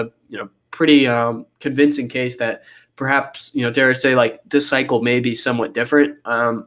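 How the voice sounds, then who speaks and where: A young man with a cold and a nasal voice, explaining charts in a casual interview over a video call, full of fillers and restarts. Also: medium pitch. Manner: rambling with many fillers and restarts. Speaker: guest analyst.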